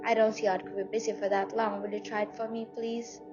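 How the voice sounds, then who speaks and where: A woman's voice: mid-range voice, level tone, quick pace, lightly acted. A young woman playing a nervous, demanding invalid wife in a radio play, fretful and insistent in a melodramatic way. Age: young adult. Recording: muffled student recording with music underneath.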